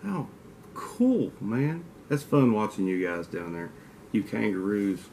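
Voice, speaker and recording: Kentucky guy with a southern drawl, lowish voice, rambly teasing vlog chat at his webcam, faint hiss behind. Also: sing-song, slow pace, dark tone. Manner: rambling, teasing trash talk. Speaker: outdoors channel host. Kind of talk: casual vlog talk to camera. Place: indoor den with mounted antlers. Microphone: webcam at desk.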